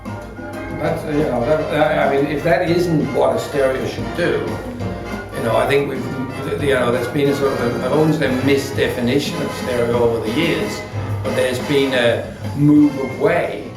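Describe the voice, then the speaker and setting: A man's voice: mid-range voice, fairly even intonation, measured pace. A man explaining his design work in an unscripted interview, reflective and hesitant, often rephrasing mid-sentence. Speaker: audio company designer being interviewed. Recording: roomy echo with music behind.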